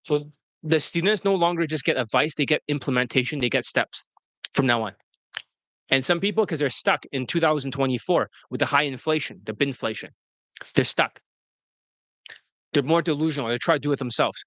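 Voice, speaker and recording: A brash man running his dating-coaching talk with loud profanity and scorn for his followers. His voice sits a bit high, recorded close and dry with no room sound. He often lurches into shrill mocking impressions of students. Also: a little fast, long pauses, no echo. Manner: brash, profane, mocks students in high-pitched quoted whines. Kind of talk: blunt promotional coaching talk.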